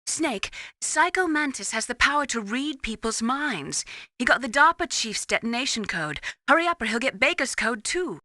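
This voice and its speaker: Female mission support for a game: earnest, explanatory, clear-cut briefing, bright tone, dry studio sound. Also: a little high, lively intonation, moderate pace, dry recording. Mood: urgent. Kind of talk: scripted video game radio briefing.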